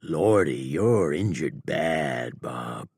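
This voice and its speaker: A man voicing a cool, taunting male bounty hunter with a slow drawl in an audiobook. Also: a bass voice, animated, a little mellow, slightly rough, no room sound, studio quality, heavily acted. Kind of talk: audiobook character voice.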